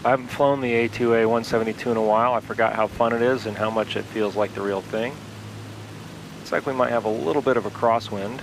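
A man hosting a flight simulator video, calmly talking through each step aloud as he flies from a home cockpit, speaking into an aviation headset. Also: fairly low pitch, fast talker, gravelly, background noise. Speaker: flight sim video host. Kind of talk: casual instructional narration while flying.

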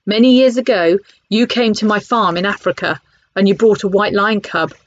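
Eager old man, urgent, higher than usual, voiced by a woman, muffled audio. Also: normal speed, lightly acted. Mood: earnest. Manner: urgent, excited. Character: eager old English man with a limp and a stick.

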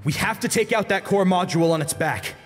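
A man's voice: sits high, fairly quick, big-room sound, faint music behind, pitched above the performer's own voice, lightly acted. A man voicing a tense, commanding young swordsman in an audiobook, urgently giving orders. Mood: tense, tactical.

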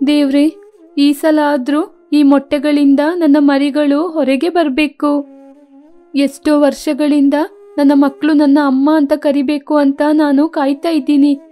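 A woman's voice: sits high, level tone, a little slow, mellow, soft background music. A woman voicing an anxious, tender mother sparrow in a children's cartoon, earnest and pleading. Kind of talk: children's cartoon story acting.

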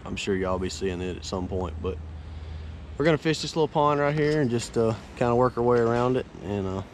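A man's voice: medium pitch, lively intonation, fairly quick, slight background noise. A man, a fishing charter captain talking casually to camera by a pond while coaching his kids. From Florida Panhandle, US. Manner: easygoing, rambling, coaching kids between asides. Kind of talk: casual outdoor vlog talk. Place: outdoors by a neighborhood pond. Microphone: wearable action camera.

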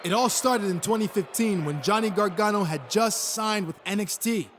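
An actor playing a cocky, taunting wrestling champion making a boastful, deliberate speech in a video game. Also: male voice, high-pitched, close to an everyday voice. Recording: loud arena crowd noise.

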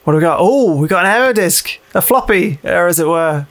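A man's voice: sits high, animated, fast talker, fairly bright tone. A man giving casual, jokey let's-play commentary, muttering and swearing as he plays. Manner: chatty, jokey, sweary, mutters to himself while playing. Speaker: gaming show host, playing the game.

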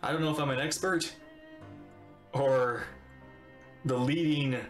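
A man's voice: mid-range voice, fairly even intonation, a little slow. A middle-aged man giving casual livestream commentary as he reacts to a game. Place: indoor room, webcam. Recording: roomy echo. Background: faint game music.

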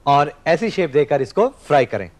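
A man's voice: on the high side, big pitch swings, moderate pace, a little mellow. A man in his thirties hosting a studio cooking show, explaining each step while he cooks and talks to the camera. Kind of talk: scripted cooking demonstration. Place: TV studio kitchen set.

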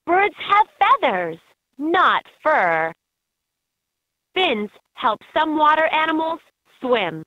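A woman's voice: high voice, big pitch swings, slow, no room sound, exaggerated voice. A woman reading scripted narration as the guide in a children's learning game, recorded as lo-fi toy audio. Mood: instructive.

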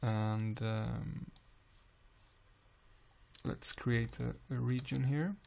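A man with a Swedish accent calmly explaining music software in a screen-recorded tutorial, pausing often with "uh". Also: mid-range voice, vocal fry, slight background noise. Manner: calm, unhurried explaining with frequent "uh" pauses.

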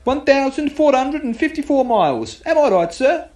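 A man's voice: extremely high pitch, very animated, normal speed, noisy background. A man playing a proud, polite music-hall memory performer with a declamatory, deferential delivery in an amateur radio play. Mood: proud, eager. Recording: homemade recording under loud music.

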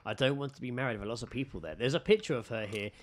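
A man's voice: mid-range voice, racing. A man with a British accent chatting casually as a podcast host, jokey and sweary as he reads out odd news stories.

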